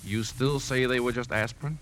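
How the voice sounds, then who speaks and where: An actor in a 1950s radio drama playing a grave, troubled man, with brooding first-person narration and measured, earnest dialogue. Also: mid-range voice, steady tone, fairly bright tone, slight background noise, natural voice. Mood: grave, pressing. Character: grave, troubled man narrating his plan to trap a poisoner. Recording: 1950s radio broadcast with music behind.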